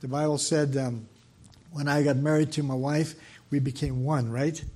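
An older man with a soft, slightly high voice preaches a sermon into a church microphone. He talks quickly, often stopping for fillers and restarting his sentences. His tone stays gentle and personal. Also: little pitch movement. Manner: speaks fast, with frequent fillers and restarts. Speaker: guest preacher. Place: church sanctuary.

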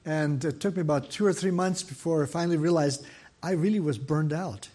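An older man, soft-voiced, giving a sermon as a guest preacher in a church, talking fast with frequent fillers and restarts. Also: fairly high pitch, slightly rough. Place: church sanctuary. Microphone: microphone.

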